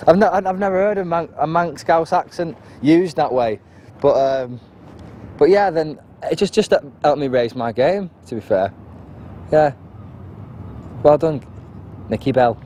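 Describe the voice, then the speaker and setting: A man's voice: on the high side, measured pace, pauses often, warm tone, a little hiss or hum. A man, an actor, talking hesitantly and self-deprecatingly in a casual interview, with many fillers and restarts.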